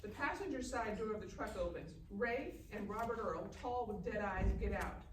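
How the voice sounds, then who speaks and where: Picked up at a distance in an echoey room with faint noise, a woman reads scripted stage directions. Her pitch is medium, in a narrator's delivery. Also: lively intonation, moderate pace. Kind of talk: scripted narration at live table read. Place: live reading in a festival room.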